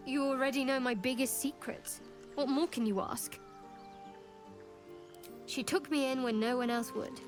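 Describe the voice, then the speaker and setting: A devout young female cleric from a fantasy video game. Her voice sits a little high, and she speaks in a measured, guarded way, earnest yet dryly wry. Soft music plays faintly behind her. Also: fairly even intonation. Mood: devout, defensive. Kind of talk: video game character dialogue.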